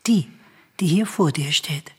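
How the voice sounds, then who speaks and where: Very breathy tragic chorus figure. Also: female voice, low-pitched, measured pace, darkish tone, faint music behind, full character voice. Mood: solemn. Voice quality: breathy, husky. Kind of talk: classical Greek tragedy radio play.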